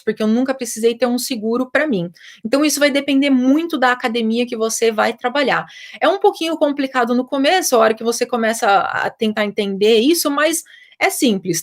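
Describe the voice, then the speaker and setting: A young Brazilian woman, a personal trainer, chatting casually and explaining things to viewers, with lots of fillers. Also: on the high side. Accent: Brazilian Portuguese. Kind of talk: casual live chat answering viewer questions.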